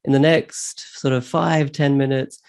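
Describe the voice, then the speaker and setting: A man's voice: medium pitch, level tone, unhurried, vocal fry, a little mellow, smooth. A man hosting a casual interview, speaking in an upbeat, conversational way. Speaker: interview host, property investment coach.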